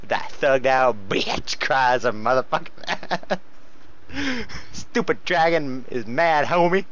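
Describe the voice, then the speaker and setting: A man's voice: mid-range voice, big pitch swings, slow pace, fairly bright tone, highs cut off. A man reading fan fiction aloud, giggly and often cracking up between joking asides. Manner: giggly, often breaking into laughter while reading. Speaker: fan fiction co-reader and commentator.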